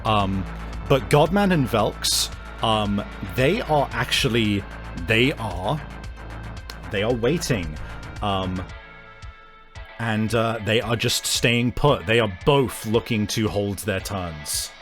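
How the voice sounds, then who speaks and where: A man running a tabletop roleplaying game as game master, narrating in a halting, improvised way. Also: fairly low pitch, animated, music in the background.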